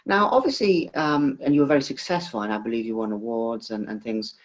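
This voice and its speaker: A man's voice: medium pitch, brisk. Rambling male interviewer, dull lo-fi sound. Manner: rambling, effusive. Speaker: interviewer, host. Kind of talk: informal conversational interview.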